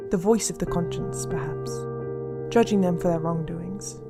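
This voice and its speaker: A woman playing a serious investigator, giving measured, solemn scripted true-crime narration for a radio drama. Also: lowish voice, creaky. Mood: grave, questioning. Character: serious female investigator narrating unsolved disappearances. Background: instrumental music.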